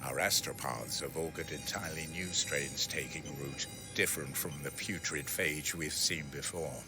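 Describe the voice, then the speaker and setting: A man's voice: a bass voice, normal speed. A man playing a grim, suspicious knight in a sci-fi video game, speaking in a formal, severe way. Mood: grave. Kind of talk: dramatic video game voice acting. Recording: music under the voice.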